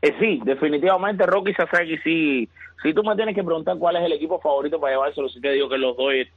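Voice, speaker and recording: A man talking baseball on a sports radio show, emphatic and building excitement as he argues his point. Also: a little high, fast, dry recording. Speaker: baseball analyst. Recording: tinny phone line.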